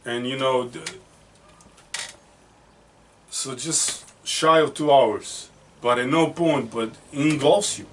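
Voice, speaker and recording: A man's voice: mid-range voice, a little slow. A man co-hosting a casual movie podcast, talking eagerly and often over his co-host. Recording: distant mic with faint noise.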